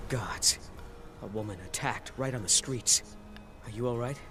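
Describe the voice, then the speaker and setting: A man's voice: medium pitch, unhurried, background noise, lightly acted. A man playing a concerned townsman in a fantasy video game. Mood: concerned, grave. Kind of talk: video game character dialogue. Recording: music behind the voice.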